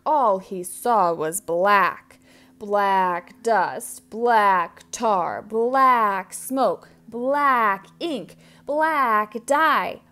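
Woman, children's storybook narrator, highly varied intonation, slow pace, theatrical emphasis, medium pitch. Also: a bit bright. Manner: theatrical, with drawn-out emphasized words and gasps. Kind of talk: expressive read-aloud narration of a children's picture book.

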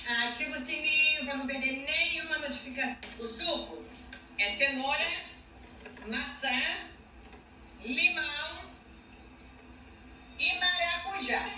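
A woman's voice: sits high, pushed and bright, a little hiss or hum. Chatty Brazilian woman in a roomy kitchen. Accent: Brazilian Portuguese. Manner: affectionate, chatty, addresses viewers directly. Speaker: juice channel host. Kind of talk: casual cooking vlog to followers. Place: home kitchen, indoors. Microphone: camera mic at a little distance. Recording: roomy kitchen, mic a bit far.

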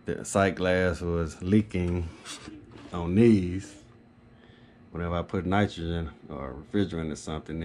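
A man's voice: low-pitched, noisy background. A man giving a casual, rambling, matter-of-fact product update as an HVAC technician on a handheld camera. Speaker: HVAC technician and video host. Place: small carpeted home office, indoors.

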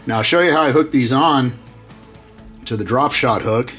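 A man's voice: medium pitch, normal speed, faint music behind. A middle-aged man hosting a fishing channel, casually walking through how he rigs baits, with lots of fillers and restarts. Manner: relaxed, many fillers and restarts. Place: workshop with tackle-covered walls.

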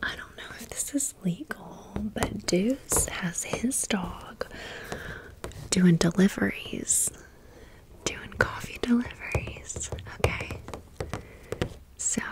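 Female ASMR host, breathy unvoiced whisper, unhurried pace, chatty sassy commentary. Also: mid-range voice, poor audio. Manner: casual, gushing, sassy slang. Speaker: ASMR video creator. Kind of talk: ASMR doll haul, rambling commentary.

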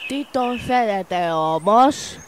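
A gentle, eager parroting child, voiced by a female player, in a storybook tale, at a middle pitch for a female voice. Clear background noise sits behind. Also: animated, lightly acted. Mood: mimicking. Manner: parroting, repetitive. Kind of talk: scripted storybook dialogue. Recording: noisy ambience behind voice.

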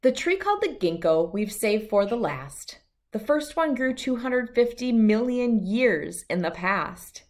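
A woman's voice: on the high side, very animated, a little slow, a little mellow. A middle-aged woman reading a rhyming children's picture book aloud in a sing-song way that follows the verse.

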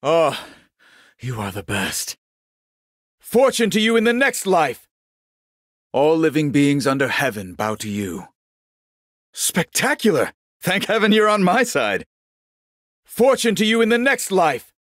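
A man voicing a genial, vain old warrior monk in a video game, speaking in a measured, courtly and wryly pious manner. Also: fairly high pitch, sing-song, fairly bright tone, no echo, clean studio sound, heavily acted. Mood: calm, wry. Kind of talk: scripted game character voice lines.

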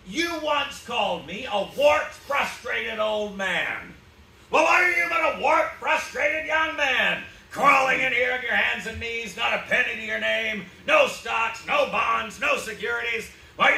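An actor playing a cruel, gloating old rich banker in a live radio play, mocking and declaiming. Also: male voice, very high-pitched, animated, a little slow, vocal fry, bright, forceful tone, heavily acted. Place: theatre stage, recorded from the audience. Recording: echoey hall from far off.

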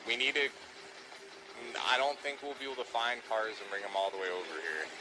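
A man's voice: fairly low pitch, animated, a little fast, noisy background. A man streaming a video game, chatting casually in character and giving repeated, bossy squad-leader commands. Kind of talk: casual in-character gameplay chat.

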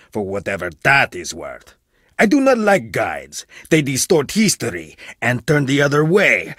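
A blunt, husky-voiced archaeologist. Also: male voice, fairly high pitch, sharp tone, no echo, clean studio sound, full character voice. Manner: blunt, haughty, dramatic swings in delivery. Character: stern, proud male archaeologist, blunt and distrustful. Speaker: game character voice. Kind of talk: video game voice lines.

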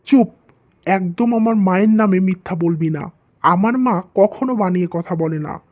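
A woman's voice: medium pitch, moderate pace, a little mellow, lightly acted. A woman voicing a stern, easily hurt young husband and son in a cartoon drama. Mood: stern, angry. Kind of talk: dubbed cartoon drama dialogue.

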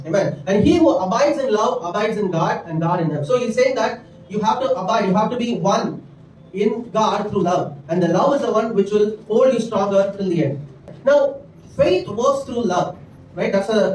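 A man's voice: high voice, a little mellow, a little hiss or hum. A middle-aged man with an Indian English accent, preaching from a church stage into a handheld mic, earnest and peppered with asides. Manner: earnest preaching with frequent "right?" and "amen" asides. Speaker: pastor. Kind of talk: sermon. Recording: echoey church room miked from a distance.

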